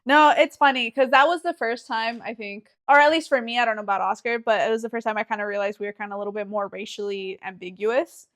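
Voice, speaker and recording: A woman's voice: a little high, quick pace, few pauses, a bit bright. A young woman from Texas co-hosting a podcast, chatting in a laid-back way with lots of fillers. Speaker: podcast co-host. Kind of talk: casual chat.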